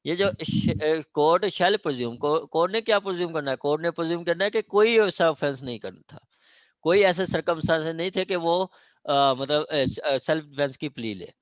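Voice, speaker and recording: A man's voice: a little high, moderate pace, dry recording. A man with a Pakistani accent giving an informal classroom lecture as a teacher, often checking that students follow. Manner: didactic, frequent check-in questions to students. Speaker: law lecturer. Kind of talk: informal classroom law lecture mixing Urdu and English legal terms.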